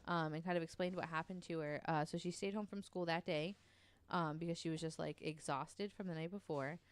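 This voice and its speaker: A woman's voice: low voice, steady tone, fairly quick, no room sound. A woman co-hosting a podcast, casually telling a true story with lots of ums and likes. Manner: chatty, many ums and likes, laughs easily.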